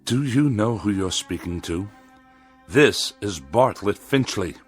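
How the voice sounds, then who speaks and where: An actor playing a haughty, indignant middle-aged misanthrope with a sarcastic, biting manner in a radio drama. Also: male voice, fairly low pitch, expressive, slow, soft background music. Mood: haughty, demanding. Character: pompous, irascible middle-aged misanthrope writer.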